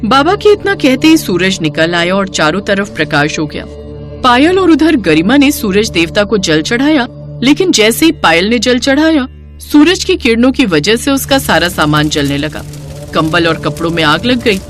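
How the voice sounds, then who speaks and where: A woman's voice: mid-range voice, very animated, normal speed, soft background music. A woman narrating a scripted moral story in a storyteller's style.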